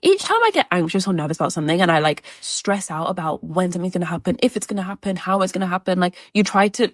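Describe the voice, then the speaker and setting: A young woman in her twenties who speaks quickly and earnestly about opening up, with a steady run of "like" fillers. Her voice is mid-pitched and dry, close to the mic. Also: dry recording. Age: 20s. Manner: earnest, chatty, lots of "like" fillers. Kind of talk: casual self-help talk.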